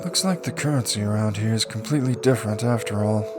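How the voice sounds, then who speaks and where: A man's voice: on the low side, quick pace, over music. A man reading a light novel aloud as dramatic scripted audiobook narration. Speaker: audiobook reader.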